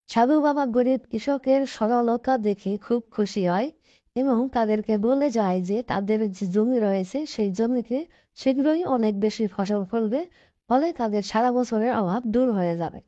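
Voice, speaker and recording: A woman's voice: fairly high pitch, measured pace, dark tone, dry recording. A woman reading scripted narration for a cartoon folk story as its narrator. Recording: dull, dry lo-fi track.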